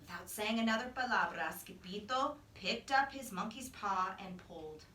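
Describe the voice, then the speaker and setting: A woman reading a children's story aloud as a teacher, with expressive, animated narration. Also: medium pitch, moderate pace. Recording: echoey room, distant microphone.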